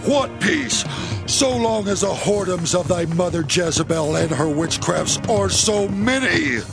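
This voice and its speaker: A man's voice: high-pitched, expressive, measured pace, creaky, exaggerated voice. A man playing a fierce, commanding military usurper in a dramatized scripture reading, stern and declamatory. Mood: scornful, defiant. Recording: music bed behind the voice.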